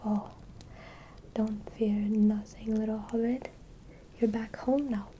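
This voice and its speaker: Lady playing a sweet caring village friend in fantasy asmr, slow soft whispering, kinda hesitant, bit of background noise. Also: on the high side, little pitch movement. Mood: soothing, caring. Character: tender, doting female Shire friend welcoming a hero home.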